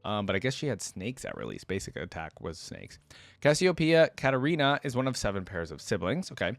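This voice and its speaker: A man's voice: on the low side, sing-song, a little fast, creaky, no echo. A man giving casual reaction commentary as a video host, talking informally and often hedging or correcting himself. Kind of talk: casual reaction commentary, partly reading aloud from a wiki.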